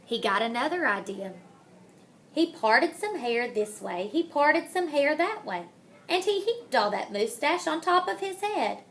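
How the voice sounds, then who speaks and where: A woman reads a children's story in a high, very lively voice. She takes her time, delivering each phrase dramatically and lingering over rhymes and playful words. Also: slight background noise. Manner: dramatic, deliberate, savors rhymes and wordplay. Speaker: storybook reader. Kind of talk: expressive children's picture-book read-aloud.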